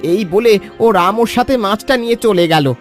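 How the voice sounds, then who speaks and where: A man playing a loyal, protective village uncle in an animated children's story, blunt and aggrieved in manner. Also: high-pitched, normal speed, faint music behind, lightly acted. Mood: sorrowful.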